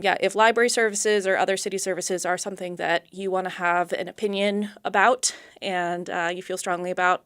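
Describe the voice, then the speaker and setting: A woman's voice: fairly low pitch, fairly bright tone, clear, resonant tone, no echo, studio quality. A woman speaking conversationally as a radio guest, announcing community events for the library.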